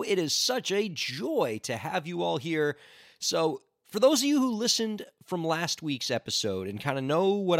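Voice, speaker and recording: Hoarse, lively-voiced male podcast host. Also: a little high, no echo, clean studio sound. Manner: upbeat, chatty and earnest. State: hoarse with laryngitis. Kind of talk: casual solo podcast talk.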